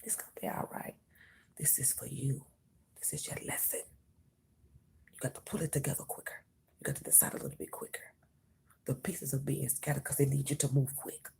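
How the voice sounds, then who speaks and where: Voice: medium pitch, very animated, a little fast, gravelly, long pauses, a little mellow. A tarot reader giving an intimate, whispered reading to the viewer, emphatic and reassuring, calling the listener honey and mama. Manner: emphatic, reassuring, repeats phrases.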